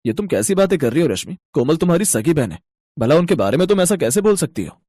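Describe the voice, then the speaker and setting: A man's voice: on the high side, big pitch swings, fast talker, warm tone, no echo. A man voicing an earnest, troubled businessman husband in a cartoon moral story, with a dramatic, plaintive manner. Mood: reproachful.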